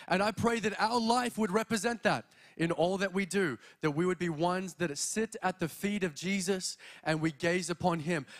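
A young man from Australia with an Australian accent, preaching an energetic sermon as a guest speaker from a church stage into a handheld mic. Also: sits high. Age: young adult. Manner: energetic, exhorting, walks the stage.

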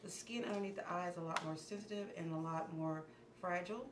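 Low-voiced woman vlogger in echoey room. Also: fast talker. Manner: calm, instructive, softens to near murmur while working. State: slightly losing her voice. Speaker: beauty vlogger. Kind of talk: step-by-step makeup tutorial. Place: small indoor room against a plain wall. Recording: echoey room with background hiss.

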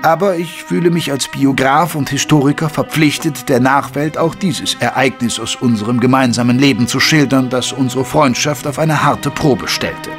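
Actor as an earnest gentleman biographer, medium-pitched. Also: close to an everyday voice. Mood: rueful, solemn. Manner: formal, solemn, measured phrasing. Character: earnest, dignified male Victorian biographer, confessing with shame. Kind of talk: scripted audio drama narration. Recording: faint music under the voice. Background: music.

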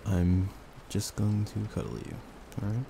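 A man speaking softly in an intimate, soothing ASMR boyfriend roleplay, murmuring in a comforting, gently teasing way. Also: lowish voice, lively intonation, a little slow. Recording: close recording with clear background noise.

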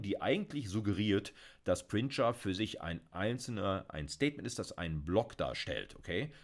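A middle-aged man from Germany giving a recorded programming lecture from a small home office, talking casually as he types and explains code, with frequent fillers. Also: low-pitched. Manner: conversational, explains while typing, many fillers. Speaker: university lecturer.

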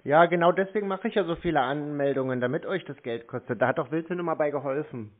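Higher-pitched man, casual, on a phone line. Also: fast talker. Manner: unflappable, dogged, feigned innocence. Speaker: prank caller to scam call centres. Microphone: telephone. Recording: narrow-band phone line.

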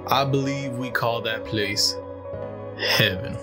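A man playing a solemn pastor who delivers a measured, reverent funeral eulogy in an audio drama. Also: mid-range voice, close to an everyday voice. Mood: reverent, consoling. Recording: music behind the voice.

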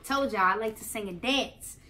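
Young American woman, fairly high voice, children's read-aloud host, playful, expressive, teacherly explaining. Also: normal speed, faint music behind. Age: young adult. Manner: expressive, playful, sing-song when reading. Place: small living room, indoors on a couch.

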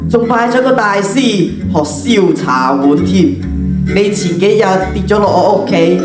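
Elderly potter acted by a teenage girl, Hong Kong Cantonese accent, theatrical, earnest, unhurried, echoey hall with music. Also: medium pitch, expressive, recorded from a distance, natural voice. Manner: theatrical, exclamatory. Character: elderly reclusive tea-bowl potter, earnest and wary. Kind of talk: school radio drama acting. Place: large auditorium stage.

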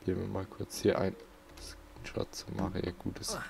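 A man's voice: low-pitched, fairly even intonation, moderate pace, vocal fry, a little mellow, slight background noise. A man giving casual gameplay commentary as a let's play host, muttering to himself.

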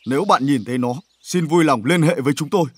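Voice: mid-range voice, quick pace, husky, no room sound, close to an everyday voice. An actor playing a scheming, grumpy uncle in a children's cartoon dub, muttering and groaning theatrically. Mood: scheming, grumbling.

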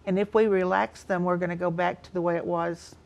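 A woman's voice: on the low side, flat delivery, brisk, creaky, darkish tone. A woman in her sixties explaining things as a counselor and guest in a studio interview. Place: TV studio, seated indoors.

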